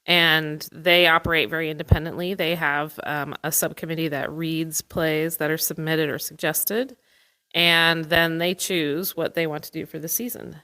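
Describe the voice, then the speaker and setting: A woman's voice: deep voice, fairly even intonation, moderate pace, pushed and bright, poor audio. A woman, an arts administrator, explaining conversationally as an interview guest.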